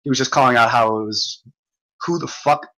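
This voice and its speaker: Guy ranting on a livestream, voice getting hoarse, swears a lot, keeps repeating himself. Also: mid-range voice, fairly even intonation, fairly quick, pushed and bright, rough recording. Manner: profane, repetitive, stumbling. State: voice going hoarse. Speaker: livestream host. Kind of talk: rambling livestream rant.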